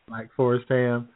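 A man's voice: medium pitch, vocal fry, no room sound. A male co-host chatting casually in comic radio banter, laid-back and a little hesitant. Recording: narrow, phone-like radio sound.

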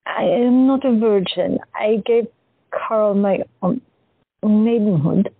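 Actress playing a shy, wistful young woman artist, husky, dry and tinny. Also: mid-range voice, slow, no room sound, exaggerated voice. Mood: shy, earnest. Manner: gentle, hesitant. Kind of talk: audio drama acting. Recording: dry, tinny phone-like sound.

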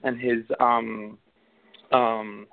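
A man's voice: mid-range voice, level tone, very slow, gravelly. A man in his thirties from Venezuela, with a Venezuelan Spanish accent, answering interview questions in a hesitant way with many fillers and restarts. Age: 30s. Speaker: actor guest. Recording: tinny phone line.

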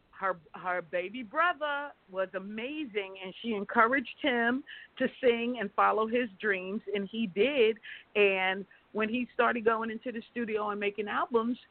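Female interview guest, casual storyteller, mid-pitched voice, dry phone-line sound. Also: dry recording. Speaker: interview guest, company founder.

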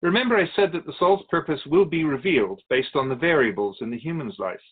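A man playing a patient, wise, otherworldly Keeper of Souls who teaches in a measured, philosophical way in a radio drama. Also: fairly high pitch, lively intonation, breathy edge, narrow, tinny audio. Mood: patient, instructive. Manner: measured, philosophical, gently instructive. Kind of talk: scripted radio drama dialogue.

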